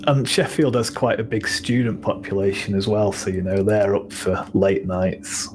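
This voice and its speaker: Low-voiced Northern English man, rambling. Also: steady tone, moderate pace, over music. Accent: Northern English (Manchester). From England. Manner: low-key, rambling, many ums and you-knows. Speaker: guest musician. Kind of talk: casual podcast conversation. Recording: audible background noise.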